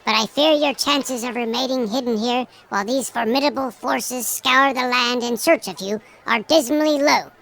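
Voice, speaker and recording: A sage little elder mayor played by a woman in a fantasy audio drama, with a fairly high voice. The speech is formal, measured and eloquent, with the air of a grandparent. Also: vocal fry, fairly bright tone, rough recording. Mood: grave, measured.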